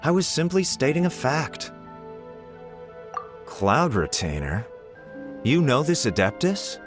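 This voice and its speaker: An actor playing a condescending, self-important scholar in an anime game, speaking in a pompous, wordy, formal manner. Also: male voice, mid-range voice, big pitch swings, takes it slowly, over music. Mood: smug, dismissive.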